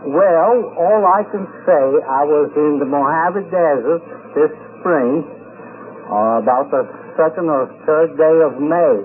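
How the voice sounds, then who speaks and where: A man with a fairly high voice gives a halting, spontaneous account to an interviewer. The sound is a narrow, tinny 1950s radio field recording. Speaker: convention attendee being interviewed. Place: convention, interviewed on location. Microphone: shared interview microphone.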